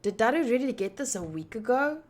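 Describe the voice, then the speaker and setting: A woman streaming a visual novel, reading it aloud deliberately with pauses and chatty asides. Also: fairly high pitch, big pitch swings, darkish tone. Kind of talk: playthrough narration with chatty asides.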